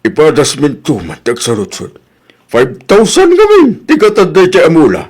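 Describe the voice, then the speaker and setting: A man's voice: on the high side, wildly swooping pitch, moderate pace, darkish tone. A man playing an exasperated, blunt older husband with an Ilocano accent in a comedy drama, grumbling and exclaiming.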